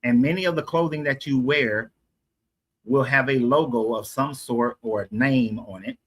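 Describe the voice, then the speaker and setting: A man from Atlanta with a voice pitched right in the middle. He argues point by point, explaining at length and correcting himself along the way. It is a casual street conversation. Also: normal speed, fairly bright tone. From Atlanta, USA. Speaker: street debater.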